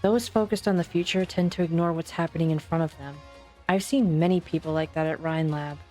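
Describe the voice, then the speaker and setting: A woman voicing a principled, resolute physician in an amateur fan dub, speaking in an earnest, deliberate way. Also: sits low, steady tone, warm tone, soft background music, close to an everyday voice. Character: principled, observant female physician, calm and resolute.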